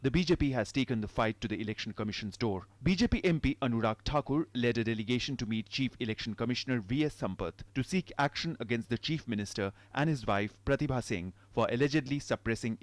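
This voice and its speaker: A man's voice: mid-range voice, quick pace, few pauses, no room sound. Male Indian news reporter, mid-range voice, scripted delivery, studio-dry recording.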